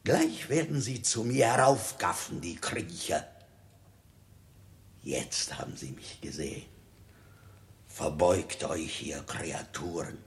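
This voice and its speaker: A contemptuous, melancholic monarch in a 1960s radio play. He savours his words theatrically and slowly, the pitch rising and plunging with royal disdain. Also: lively intonation, creaky, heavily acted. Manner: pompous, theatrical, savouring each phrase.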